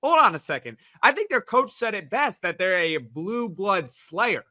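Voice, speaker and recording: A man's voice: high-pitched, sing-song, moderate pace, sharp tone. A man on a sports podcast making his case with rhetorical questions. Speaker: podcast panelist. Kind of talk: casual sports debate.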